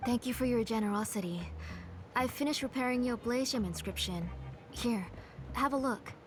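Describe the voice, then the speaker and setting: An actress playing a gentle, caring mother in a video game. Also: on the high side, normal speed, noisy background, close to an everyday voice. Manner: warm, motherly. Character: gentle caring mother, former name engraver.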